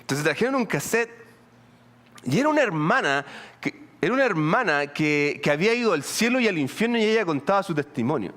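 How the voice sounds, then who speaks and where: Lively Colombian man preaching. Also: high voice, fairly quick. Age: middle-aged. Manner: animated, humorous, many restarts and asides to the congregation. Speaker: pastor. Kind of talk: sermon with storytelling.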